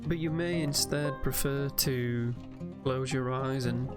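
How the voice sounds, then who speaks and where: A man giving calm, gentle, measured guided sleep meditation commentary as a narrator. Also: mid-range voice, music in the background.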